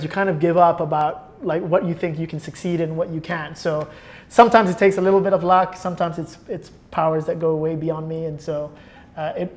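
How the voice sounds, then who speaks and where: A man speaking as a guest in a conversational interview, often searching for words and rephrasing as he explains. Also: on the high side. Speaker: interview guest, film producer.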